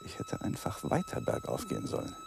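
A man's voice: very high voice, completely flat delivery, fairly quick. Radio play casting: hunted man, desperate and lovesick, feverish broken monologue, noisy background. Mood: wary, agitated. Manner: feverish, fragmented thinking aloud. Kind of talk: German radio drama monologue. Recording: noisy 1980s radio drama recording.

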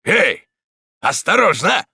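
A man's voice: a little high, sing-song, takes it slowly, creaky, slightly rough, dry recording, studio quality, pitched above the performer's own voice, exaggerated voice. A man doing a brutal, taunting stonebreaker. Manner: taunting, mocking shouts. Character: brutal male stonebreaker leading a mob.

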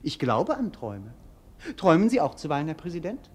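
An actor playing a bored, mocking young prince in a German radio play, ironic and wordy, swinging between languid and sharp. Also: male voice, on the high side, wildly swooping pitch, brisk, breathy edge, exaggerated voice. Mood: airy mockery.

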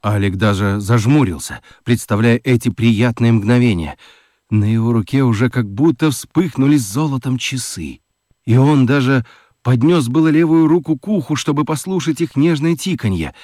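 A man narrating a children's radio play as an expressive, dramatic storyteller. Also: mid-range voice, moderate pace, dry recording, studio quality, natural voice. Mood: suspenseful narrating.

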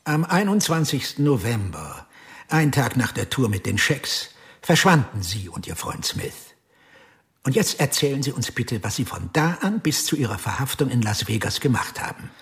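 A patient, probing detective in a radio drama. His voice sits at an ordinary male pitch, level and measured, and it keeps pressing without raising itself. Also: very animated. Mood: steady. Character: calm, probing male police investigator. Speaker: police detective.

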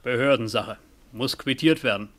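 A man's voice: mid-range voice, normal speed, fairly bright tone, natural voice. Actor as curt male official messenger. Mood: officious, impatient. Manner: clipped, terse. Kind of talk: German radio play acting.

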